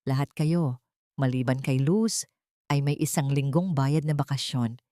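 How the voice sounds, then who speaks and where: A woman's voice: extremely low pitch, measured pace, dark tone, no echo, clean studio sound. A woman voicing a cruel, mocking rich fiancée in an audio drama, commanding and smug. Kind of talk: Tagalog audio drama storytelling.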